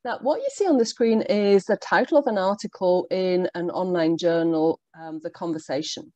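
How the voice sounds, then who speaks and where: A woman whose first language is Polish, presenting a workshop for language teachers in a measured, explanatory way with frequent ums. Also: fairly low pitch, dark tone, dry recording. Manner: measured, explanatory, with pauses and fillers. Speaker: teacher trainer.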